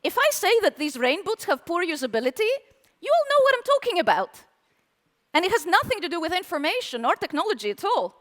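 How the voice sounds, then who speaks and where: A woman with a very high, lively voice speaks from a conference stage, her pitch bouncing up and down as she teases the crowd and quizzes them. Her words ring out with a long hall reverb behind them. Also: fairly quick. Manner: animated, playful, asks the audience questions. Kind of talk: live tech conference talk. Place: conference hall with live audience.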